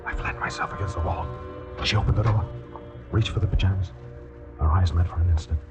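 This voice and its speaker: Actor as a deep-voiced, desperate American man, old radio thriller. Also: music in the background, lightly acted. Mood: tense narration. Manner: halting, hesitant, stammering when pressed. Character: lovesick desperate nervous man turned reluctant killer. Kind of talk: 1940s radio suspense acting with first-person narration. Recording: muffled, noisy old radio recording.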